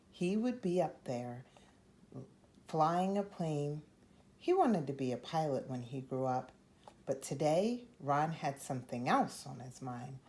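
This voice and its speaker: A woman reading a children's picture book aloud as an expressive storyteller, acting out the dialogue as she goes. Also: sits low. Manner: animated, acts out the dialogue. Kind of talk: expressive read-aloud narration.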